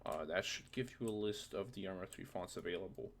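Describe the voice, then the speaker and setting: Tutorial presenter, male, very low gravelly voice, informal think-aloud delivery, slight background noise and typing. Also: brisk. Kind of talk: casual software tutorial narration.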